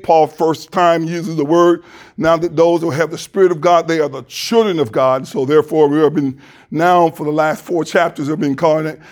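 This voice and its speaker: A man preaching as a pastor, praying and reading scripture in a fervent, deliberate way with a loud voice, into a handheld mic in a church. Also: fairly high pitch. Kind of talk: sermon with prayer and scripture reading. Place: church sanctuary. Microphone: handheld microphone.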